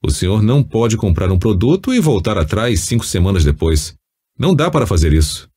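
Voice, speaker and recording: Man reading as a pushy, husky Brazilian phone swindler. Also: lowish voice, big pitch swings, fairly quick, dark tone. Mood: insistent, accusing. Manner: accusing, pressuring. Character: pushy, insistent male telephone swindler. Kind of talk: acted quote within audiobook narration.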